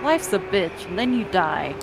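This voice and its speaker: A woman voicing a synthetic imitation of a brash, cynical businesswoman in a visual novel, loud in delivery. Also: on the low side, very animated, unhurried, gravelly, full character voice. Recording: loud music behind the voice.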